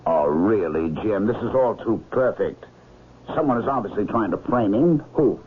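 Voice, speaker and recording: An actor playing a genial male doctor and loyal old friend, husky and earnest, in vintage radio drama style. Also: fairly low pitch, animated, normal speed. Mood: loyal, protesting. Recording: narrow-band vintage radio recording.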